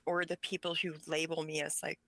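A woman's voice: deep voice, flat delivery, a little fast, gravelly, sharp tone, no echo. A woman whose first language is Pennsylvania Dutch, talking as an author guest in an interview, speaking passionately and with emphasis. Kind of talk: conversational interview.

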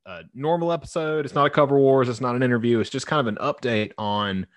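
Man, mid-pitched, easygoing podcast co-host who rambles and jokes. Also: fast, dry recording. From Southern United States. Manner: jokey, rambling. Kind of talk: casual podcast chat.

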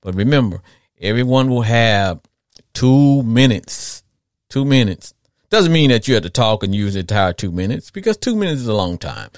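A man's voice: mid-range voice, very animated, normal speed, no echo, clean studio sound. A middle-aged man with a Southern US accent, hosting a casual sports talk podcast in a folksy, rambling way, repeating phrases for emphasis. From southern United States. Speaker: podcast host.

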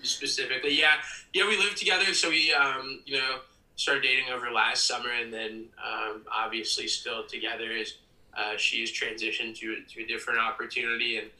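Young american guy, super bright forceful voice, pretty flat pitch, earnest podcast guest chat. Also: brisk. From Ohio, United States. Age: young adult. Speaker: podcast guest, PA announcer and ticket sales executive. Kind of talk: casual interview chat. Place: room at home, audio call. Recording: roomy, slightly distant mic.

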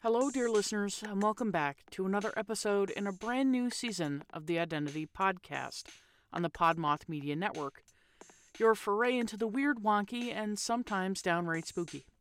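A woman's voice: medium pitch, normal speed. A woman hosting a podcast, reading scripted narration for a spooky storytelling show. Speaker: podcast host. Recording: music playing under the voice. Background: music.

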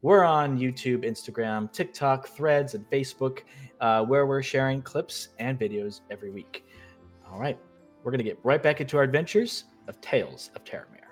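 A man's voice: mid-range voice, moderate pace. A man in the middle of his range, slightly nasal as he gets over a cold, hosts a tabletop game and narrates a recap. He tells the story steadily, dotting it with "uh" and "um". Faint music sits underneath. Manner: steady storytelling with frequent "uh" and "um" fillers. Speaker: game master and host. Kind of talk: show intro and recap narration.

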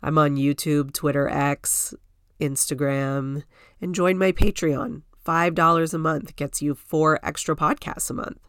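A woman's voice: low-pitched, steady tone, measured pace, fairly bright tone, clear, resonant tone, no room sound, studio quality. A woman hosting a solo podcast from home, congested from a cold, chatting in a rambling way with lots of "like" and "you know". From Canada. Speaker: podcast host. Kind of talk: casual solo podcast chat.

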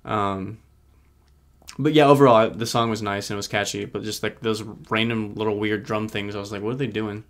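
A man's voice: lowish voice, fairly even intonation, brisk. A young man chatting casually about songs he listened to, rambling with lots of fillers and casual swearing, his throat getting sore. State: sore throat, feeling sick. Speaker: podcast co-host. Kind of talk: casual music review chat.

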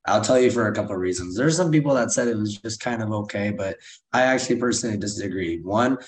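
An American man with a slightly hoarse voice, co-hosting an upbeat review podcast in conversational style. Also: fairly low pitch, expressive, quick pace. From United States. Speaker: co-host of a film and TV review show. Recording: roomy echo.

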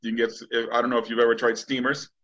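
A man's voice: medium pitch, little pitch movement, rapid-fire, a bit bright. A middle-aged man from Massachusetts hosting a podcast in a rambling, enthusiastic, casual way. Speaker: podcast host. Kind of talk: casual chat. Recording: dull, lo-fi audio.

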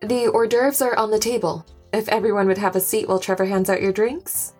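A woman's voice: medium pitch, fairly even intonation, brisk, a little mellow, slightly rough, faint music behind, lightly acted. A woman playing a practical, guarded wife hosting guests in an audio drama, polite and matter-of-fact.

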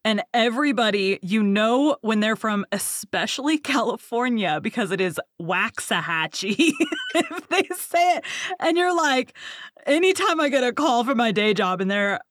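A woman's voice: a little high, big pitch swings, moderate pace, fairly bright tone, no room sound. A woman from Texas hosting a podcast, chatting casually and laughing often. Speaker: podcast host. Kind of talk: casual interview chat.

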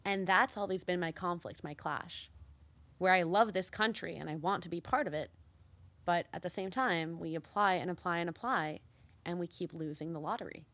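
A woman's voice: fairly low pitch, moderate pace, fairly bright tone, no room sound. A woman from Mexico co-hosting a casual talk show, speaking earnestly and reflectively with many fillers. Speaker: co-host. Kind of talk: casual chat.

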